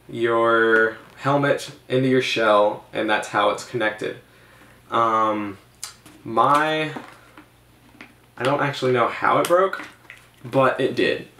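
A young man in his twenties talks casually to camera in a roomy, echoey indoor space. His pitch is middling and his delivery is laid-back and a little halting, like a motorcycle vlogger reviewing gear. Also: fairly bright tone. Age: 20s. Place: small bedroom, indoors.

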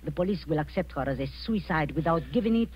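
A woman's voice: deep voice, lively intonation, fast talker. An actress playing a ruthless, imperious middle-aged woman spy posing as a kindly aunt, in theatrical 1940s radio style. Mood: scornful, gloating. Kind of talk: 1940s radio suspense acting. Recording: muffled 1940s radio recording.

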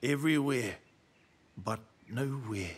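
A man's voice: mid-range voice, slow, slightly rough. A man voicing a cool, self-important wild horse in a preschool cartoon. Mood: cool, mysterious. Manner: laid-back, self-important, theatrical. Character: cool, smug, self-styled wild stallion.

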